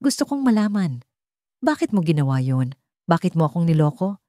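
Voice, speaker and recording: A woman's voice: fairly low pitch, very animated, moderate pace, dark tone, no echo, clean studio sound. A woman voicing a heartbroken, betrayed young woman who speaks directly and with hurt in a romance story. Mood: hurt, angry. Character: heartbroken, betrayed young woman in love, confronting her crush.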